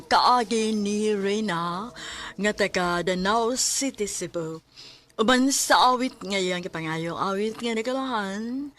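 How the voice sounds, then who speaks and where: Female Cebuano radio announcer, slow scripted delivery, medium pitch, faint music bed. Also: a bit bright. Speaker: radio drama announcer and narrator.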